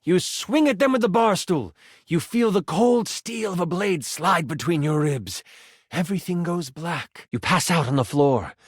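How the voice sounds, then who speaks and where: An actor voicing a living twenty-sided die, an eager, playful game master who narrates a tabletop adventure theatrically and expressively. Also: male voice, sits high, unhurried, husky, no room sound, clean studio sound, full character voice. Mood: dramatic, suspenseful. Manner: dramatic storytelling, expressive, playful.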